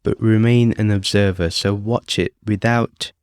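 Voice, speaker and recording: A man calmly leading a guided meditation as host, speaking off the cuff in short phrases with long pauses. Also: on the low side, clean tone, no room sound, studio quality. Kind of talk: guided meditation, unscripted instruction.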